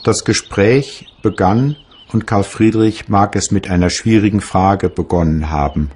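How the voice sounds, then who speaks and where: An actor playing a reflective German physicist reading his memoirs in a husky, measured, philosophical way. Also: fairly low pitch, darkish tone. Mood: reflective, earnest.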